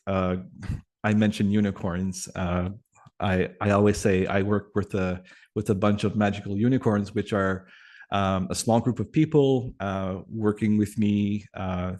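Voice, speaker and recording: A man from Atlantic Canada whose first language is French, talking as a guest on a video call, reflective and pausing often with fillers. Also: sits low, darkish tone. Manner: thoughtful, many pauses and fillers. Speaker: guest, community facilitator. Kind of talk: reflective interview conversation.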